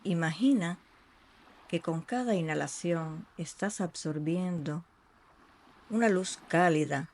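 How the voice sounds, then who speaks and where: A meditation guide speaking in a soothing, unhurried way with long pauses between short phrases. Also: medium pitch. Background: ambient sea sounds.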